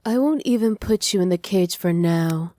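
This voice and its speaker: Soft, mellow doting witch, acted by a woman. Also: fairly low pitch, normal speed, no echo, clean studio sound, lightly acted. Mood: doting, soothing. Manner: soft, doting, teasing, often dropping to breathy whispers. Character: doting, possessive evil witch pampering her captive pet. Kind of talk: ASMR roleplay, intimate monologue to listener. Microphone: close microphone, dry.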